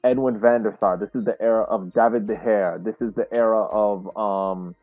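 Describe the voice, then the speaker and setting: A man co-hosting a casual sports podcast, speaking haltingly and searching for his words. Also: on the low side, steady tone, dry recording. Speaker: podcast co-host. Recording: tinny, dry phone-like call audio.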